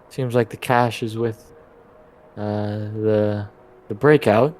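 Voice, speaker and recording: Hesitant, halting man at a game table. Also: medium pitch, darkish tone. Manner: hesitant, halting delivery with trailing phrases. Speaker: player in a tabletop role-playing game. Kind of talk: improvised in-character tabletop dialogue.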